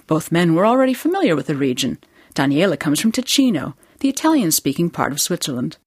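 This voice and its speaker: A woman hosting a radio program in a studio, reading scripted narration in a measured presenting manner. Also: deep voice, very animated, husky, no room sound. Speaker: program host.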